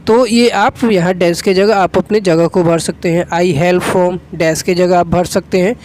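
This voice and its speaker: A teacher talks through a spoken English lesson one step at a time. The voice sits at a middle pitch, and example phrases are spoken slowly and carefully before each is explained. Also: steady tone, darkish tone.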